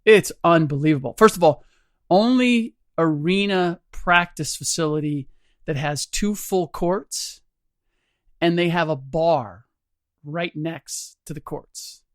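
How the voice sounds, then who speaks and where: Hoarse middle-aged male podcast host. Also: a little high, slow, no echo, clean studio sound. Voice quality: slightly rough voice. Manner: rambling, many restarts, chuckles. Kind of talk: casual podcast chat.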